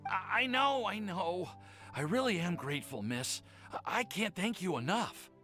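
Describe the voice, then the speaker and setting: Earnest, nervous young romantic for a game, hesitant speech with big pitch swings, light music behind. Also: male voice, animated, moderate pace, pushed and bright. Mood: flustered. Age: young adult. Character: lovestruck, nervous young man, apologetic and flustered. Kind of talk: game cutscene acting.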